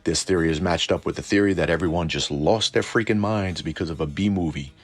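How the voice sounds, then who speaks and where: Man, very low husky voice, colloquial and irreverent, semi-scripted lore commentary. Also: brisk. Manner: colloquial, irreverent, mildly profane. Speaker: host of a cryptid and tabletop lore channel. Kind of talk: semi-scripted deep-dive commentary, casual asides.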